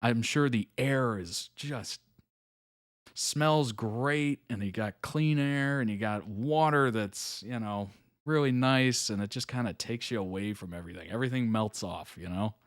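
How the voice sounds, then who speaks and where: A man's voice: medium pitch, measured pace, no echo, clean studio sound. A young adult man hosting a live reaction stream, chatting casually and musing aloud with fillers and small chuckles. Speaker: reaction video host.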